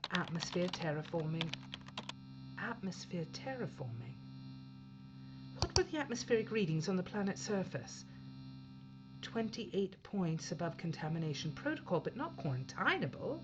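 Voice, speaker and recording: A woman's voice: lowish voice, dull audio, background noise. An actress playing a tense woman spaceship captain in a science fiction audio drama, on a band-limited, humming recording.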